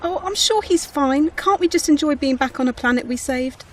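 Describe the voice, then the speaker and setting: Breezy female sidekick for sci-fi audio drama, played by a woman: high voice, teasing, slightly off-mic. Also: normal speed, far from the mic, slight background noise, lightly acted. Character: upbeat, unworried female travelling companion.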